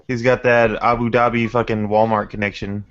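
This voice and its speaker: A man's voice: lowish voice, little pitch movement, a little fast, slight background noise. Crude teasing man on a call. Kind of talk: casual chat. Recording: dull lo-fi online call audio.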